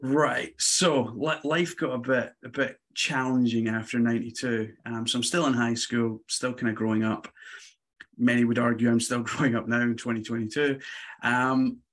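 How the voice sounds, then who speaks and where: A man's voice: mid-range voice, normal speed. A Scottish man in his forties with a Glasgow accent, a podcast guest casually telling stories about himself. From Scotland. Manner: relaxed, chatty, laughs lightly. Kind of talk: casual interview storytelling.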